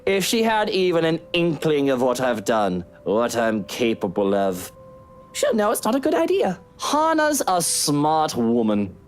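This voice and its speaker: A woman's voice: deep voice, huge pitch swings, a little slow, pitched below the performer's own voice, exaggerated voice. Woman voicing a bitter, swooping, sardonic drunken husband. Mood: quietly menacing. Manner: sardonic, theatrical delivery. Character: bitter drunken husband, cold menacing schemer, theatrical. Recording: music behind the voice.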